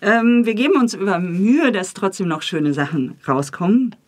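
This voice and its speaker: A woman with a husky voice, talking enthusiastically as a guest in a relaxed interview. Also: mid-range voice, moderate pace. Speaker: guest, radio drama author, editor and director.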